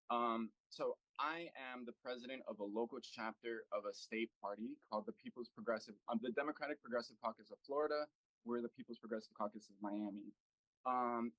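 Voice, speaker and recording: Earnest low-voiced man on a video call. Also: dry recording, poor audio. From United States. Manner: earnest, thinking aloud with many fillers and restarts. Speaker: local party chapter president. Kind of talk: speaking at an online meeting.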